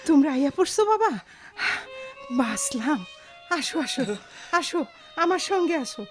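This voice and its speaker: A woman's voice: sits high, very animated, unhurried, soft background music. A woman playing a kindly, fretful older village aunt in a radio drama, drawing out her words. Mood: relieved, coaxing. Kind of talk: Bengali radio drama acting.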